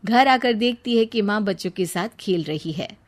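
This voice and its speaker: A woman's voice: medium pitch, animated, moderate pace. A woman reading a scripted cartoon story as its narrator.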